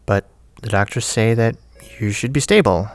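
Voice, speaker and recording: An actor playing a friendly, teasing friend with a hesitant, chatty manner in a naturalistic audio drama. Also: male voice, on the low side, normal speed, no echo, lightly acted. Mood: friendly, nervous, teasing. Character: friendly, teasing male friend leaving a recorded message.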